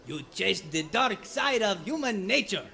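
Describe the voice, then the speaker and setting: An actor playing a tyrannical foreign auteur movie director who barks clipped commands in a radio serial parody. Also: male voice, sits high, lively intonation, a little slow, vocal fry, bright, forceful tone, exaggerated voice. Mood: pompous.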